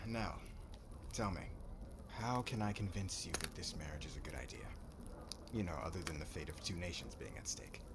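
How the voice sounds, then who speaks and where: Man voicing a brash warrior commander, flirtatious, teasing, low voice, ASMR roleplay, clear background ambience noise. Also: normal speed. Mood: subdued, sincere. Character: cocky, flirtatious warrior commander, crude suitor turning contrite.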